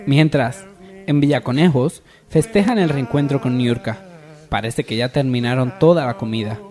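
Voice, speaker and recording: A man's voice: mid-range voice, moderate pace, darkish tone. A man reading scripted scene narration for a comic radio soap.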